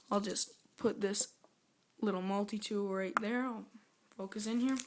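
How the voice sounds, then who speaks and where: A woman talks through an unboxing in a casual, rambling way. Her voice is hoarse and scratchy from a sore throat, and her pitch stays level and flat throughout. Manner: rambling, chatty, self-aware. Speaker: product reviewer.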